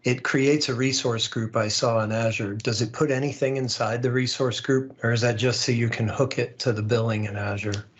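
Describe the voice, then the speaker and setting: A man's voice: mid-range voice, steady tone, normal speed, hardly any pauses. A man hosting an online tech community call, presenting news in an informal, conversational, upbeat way. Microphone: online call microphone. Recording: slightly dull, lo-fi online call audio.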